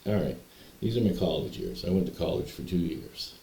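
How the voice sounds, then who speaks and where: Man, very deep voice, mellow, rambling storyteller, echoey room. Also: expressive, a little fast, a little hiss or hum. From Canada. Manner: meandering, hesitant, repeats words and restarts. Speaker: vlogger telling personal stories.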